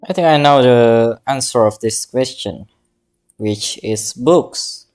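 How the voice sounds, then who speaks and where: A woman's voice: a bass voice, takes it slowly, a little mellow. A woman streamer giving casual live commentary while she plays a game.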